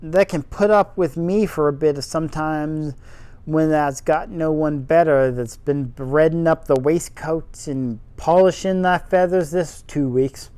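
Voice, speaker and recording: A man putting on a gruff old Yorkshire gardener. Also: a little high, measured pace, mellow, dry recording, lightly acted. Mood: sarcastic. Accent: put-on rough Yorkshire. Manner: gruff, grumbling dialect speech. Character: cross, sturdy old male gardener.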